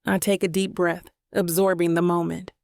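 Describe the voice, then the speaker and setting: A woman's voice: fairly low pitch, little pitch movement, normal speed, creaky, a little mellow, no echo, clean studio sound. A woman reading a first-person romance audiobook as scripted narration.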